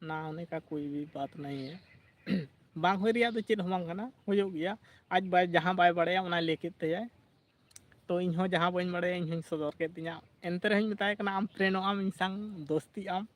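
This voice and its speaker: A man's voice: high-pitched, steady tone, moderate pace, dry recording. A man hosting a casual livestream, chatting, reading out viewer comments and laughing often. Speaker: livestream host.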